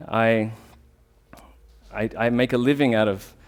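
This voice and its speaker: Man, lowish voice, earnest informal talk, restarts sentences. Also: steady tone, measured pace. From Australia. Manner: earnest, reflective, with restarts and fillers. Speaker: talk speaker, rolfer.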